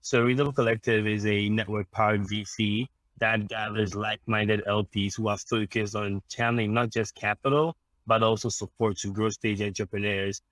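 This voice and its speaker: Low, flat-voiced man from Ghana. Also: no room sound, poor audio. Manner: measured, explanatory, with frequent ums and restarts. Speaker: impact investor guest. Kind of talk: podcast interview answers.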